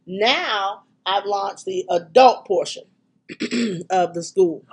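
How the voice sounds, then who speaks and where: A middle-aged woman hosting a podcast, talking in a chatty, excitable way with frequent ums, her voice congested from a cold. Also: medium pitch, slow pace, breathy edge. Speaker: podcast and radio host. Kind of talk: casual podcast chat.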